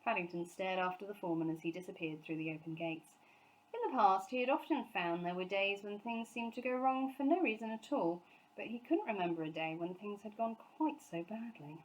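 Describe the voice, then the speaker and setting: A woman reads a children's story aloud. Her voice sits at an average pitch for a woman, and she delivers scripted storybook narration. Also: animated, brisk, rough recording. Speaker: storybook reader, teacher.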